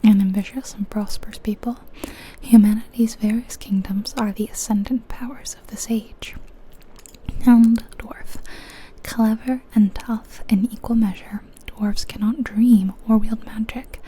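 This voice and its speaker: A woman whispering breathily and gently close to the mic in an unhurried, chatty ASMR game commentary. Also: on the high side, steady tone, husky, low-quality recording. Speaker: ASMR video game streamer.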